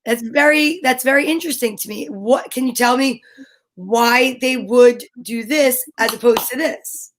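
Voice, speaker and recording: Female host, high-pitched voice, informal and inquisitive, casual conversational delivery. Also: measured pace. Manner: informal, curious questioning. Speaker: host of a children's story time show. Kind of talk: casual interview chat.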